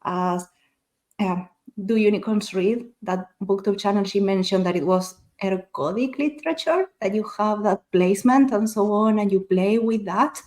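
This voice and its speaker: A woman's voice: mid-range voice, normal speed, darkish tone. Woman, native Spanish speaker, casual book-club panelist, mid-range voice, thoughtful and explanatory.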